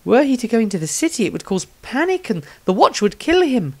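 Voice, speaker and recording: A man voicing a compassionate, earnest woman adventurer, reading her fantasy diary in a soft, subdued way. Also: very high-pitched, very animated, a little fast, voice pushed up, exaggerated voice. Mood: gentle, regretful.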